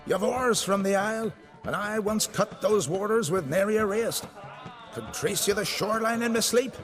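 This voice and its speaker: Man as a high-pitched boastful seafarer. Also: normal speed, soft background music, close to an everyday voice. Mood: boastful, wry. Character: boastful male seafaring islander, colorful old hand. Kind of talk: fantasy video game dialogue acting.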